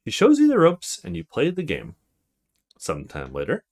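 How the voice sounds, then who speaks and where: A man hosts a gaming let's play in a medium-pitched voice. His intonation is extremely lively as he moves between chatting to viewers and reading story text aloud. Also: moderate pace. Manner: chatty, greets and addresses viewers directly. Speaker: let's player, host of a small gaming channel. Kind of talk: let's play commentary with read-aloud visual novel text.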